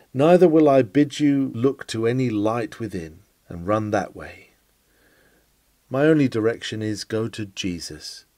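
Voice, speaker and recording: A man's voice: fairly high pitch, a little mellow, dry recording. A man reading a historical sermon aloud in an earnest, exhortatory and rhetorical manner, with dramatic delivery.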